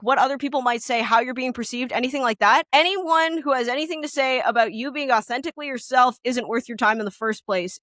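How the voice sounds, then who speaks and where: A young woman from Canada, a musician guest, talking candidly in a casual interview with a loud, piercing voice and lots of rambling fillers. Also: sits high, dry recording, clean studio sound.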